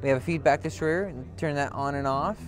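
A man's voice: fairly high pitch, fairly quick. A man demonstrating a product in an unscripted walkthrough, speaking as a brand representative. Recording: loud music behind the voice. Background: loud music.

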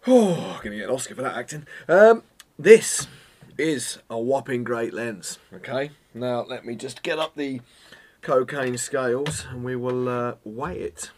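A man, chatting casually to camera as a photography vlogger, self-mocking and full of jokey asides, a little hoarse from a cold. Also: medium pitch, unhurried, darkish tone. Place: home studio room, indoors.

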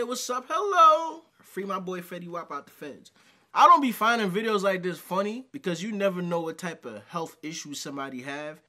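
A man's voice: high voice, bright, forceful tone. A man in his thirties giving casual, emphatic reaction commentary, repeating phrases for emphasis. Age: 30s. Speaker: reaction video host. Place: small dim indoor room.

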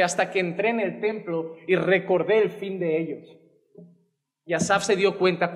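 A man's voice: high-pitched, big-room sound. A man in his thirties with a Spanish accent from Spain, preaching a sermon from a pulpit with passion. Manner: impassioned preaching with wide arm gestures. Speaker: pastor. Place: church stage behind a wooden pulpit. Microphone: pulpit gooseneck mic.

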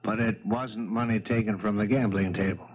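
An actor playing a down-to-earth, doubting detective sidekick with blunt delivery in a 1970s radio mystery. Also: male voice, on the low side, steady tone, normal speed, close to an everyday voice. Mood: matter-of-fact. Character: skeptical, down-to-earth male police detective sidekick. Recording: muffled 1970s radio broadcast.

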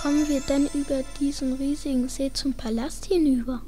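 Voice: high voice, level tone, normal speed, mellow, soft background music, natural voice. A young performer playing a brave, watchful space traveller in an amateur children's audio drama.

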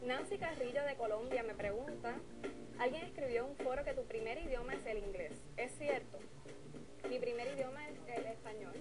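A young Puerto Rican woman in her twenties, answering fan questions she reads from a laptop, in a bright and friendly way. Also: on the high side, a little fast, rough recording. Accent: Puerto Rican Spanish. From Puerto Rico. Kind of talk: casual Q&A reading questions from a laptop. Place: living room set, on a couch.

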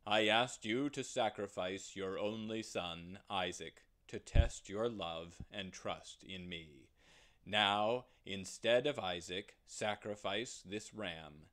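A man voicing God as a grave, authoritative male figure, measured and solemn. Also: on the low side, fairly bright tone. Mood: commanding.

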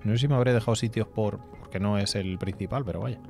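A man's voice: lowish voice, fast talker, creaky, darkish tone. Chatty Spanish man streaming a game. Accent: Spanish from Spain. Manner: chatty, rambling, swears casually. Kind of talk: casual gameplay commentary. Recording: faint game music behind.

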